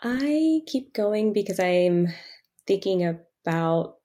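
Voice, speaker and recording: A woman's voice: medium pitch, expressive, slow, warm tone. A woman speaking conversationally as an interview guest. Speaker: podcast guest, financial coach in philanthropy.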